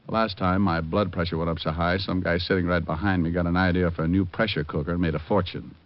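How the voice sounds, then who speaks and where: Guy playing breezy american private eye, wry and teasing, low voice, old muffled radio show. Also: steady tone, quick pace, close to an everyday voice. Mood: smooth, wry. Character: wisecracking, flirtatious male private detective. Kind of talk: 1940s-50s American radio drama acting, first-person narration. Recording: muffled old-time radio recording with music.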